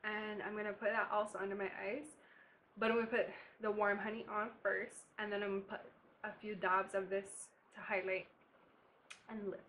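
A woman's voice: medium pitch, flat delivery, normal speed, a bit bright, slight background noise, low-quality recording. A young woman from Barbados, chatting casually with laughing asides as she does her makeup in a bedroom. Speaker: beauty vlogger. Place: bedroom, indoors.

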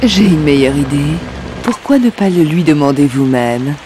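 A flirty robot pop star. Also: female voice, deep voice, animated, moderate pace, heavy background noise. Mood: sly. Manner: sultry, coquettish. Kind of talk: French video game dub. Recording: game audio with music bed.

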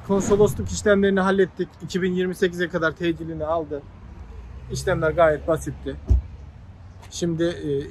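A chatty man narrates his travel vlog while out in a city, his voice sitting a little high. He teases his friends as he goes, recorded on a handheld camera. Traffic and street noise are clearly heard behind him. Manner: chatty, teasing, lively. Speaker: travel vlogger. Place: outdoors in a city, streets and buildings. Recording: noisy city street sound.

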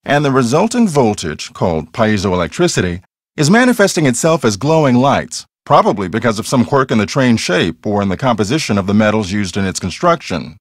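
Video game ghost hunter: cocky, theatrical, earnest, lively pitch swings, dry close sound. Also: male voice, brisk, no room sound. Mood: earnest, intense. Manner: theatrical, self-assured explaining.

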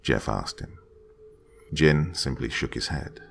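A man with a deep, gravelly voice reading a horror story aloud in a slow, dramatic way, dropping to hushed whispers at tense moments. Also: slight background noise. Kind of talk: scripted horror narration.

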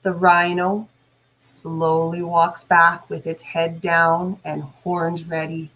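A woman, a teacher reading aloud and talking deliberately to young listeners. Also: on the low side, level tone, a bit bright, rough recording. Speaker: teacher and read-aloud host. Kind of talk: read-aloud and instructional talk to children. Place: small indoor room at home.

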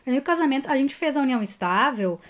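A woman's voice: high-pitched, lively intonation, quick pace. A woman with a southern Brazilian accent chatting informally and candidly as co-host of a livestream. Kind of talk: casual commentary chat. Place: small home room indoors, webcam livestream.

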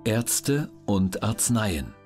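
A man reading scripted documentary audiobook narration in a measured, factual manner. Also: on the low side, very animated, over music.

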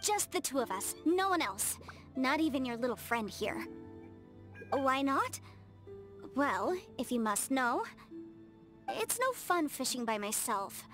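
A woman voicing a bubbly, talkative, proud young female legal adviser in an English video game, with an expressive, eager delivery. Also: sits high, measured pace, heavily acted. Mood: eager, coaxing. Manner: animated, chatty, expressive. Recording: game music under the voice.

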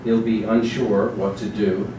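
A deep-voiced man gives a measured dramatic reading of narrative prose on a small stage. His delivery is deliberate and literary, caught from far off in a heavily echoing, noisy space. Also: little pitch movement. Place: small theatre stage with seated audience. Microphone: distant camera microphone.

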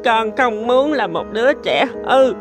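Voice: mid-range voice, animated, a little slow, natural voice. An actor voicing an eager, childish young boy in a cartoon. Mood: tearful, remorseful. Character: young boys, three brothers, eager and childish. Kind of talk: cartoon voice acting. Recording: music under the dialogue.

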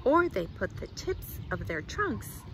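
A middle-aged woman reads a picture book aloud to children outdoors, with expressive, deliberate phrasing. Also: mid-range voice, background noise. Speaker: children's librarian, storytime reader. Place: outdoors in a leafy garden.

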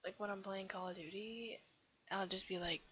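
A teenage girl with a somewhat low voice chatting casually over gameplay, rambling with lots of fillers. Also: steady tone, moderate pace, a little hiss or hum. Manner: rambling, giggly, self-chattering with many fillers. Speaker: game video creator. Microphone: computer mic. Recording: dull lo-fi computer mic.

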